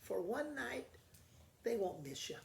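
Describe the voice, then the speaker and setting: A woman voicing a kind, gentle little old farm woman in a storybook, coaxing and pleading. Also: fairly high pitch, big pitch swings, takes it slowly, creaky, voice pushed up, exaggerated voice. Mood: kindly, coaxing. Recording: roomy echo with faint background noise.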